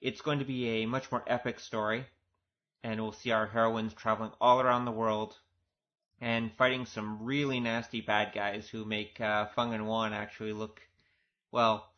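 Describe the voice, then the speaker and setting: A man's voice: on the low side, flat delivery, normal speed. A man speaking as an audio drama producer, giving an informal, earnest and conversational director's commentary. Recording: dull, lo-fi recording.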